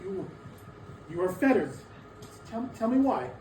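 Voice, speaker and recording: An actor playing a frightened, skeptical old miser in a dramatic stage reading, querulous and halting, with a stammer when he pleads. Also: high-pitched, very animated, darkish tone. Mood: terrified. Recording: echoey room, distant mic, noisy.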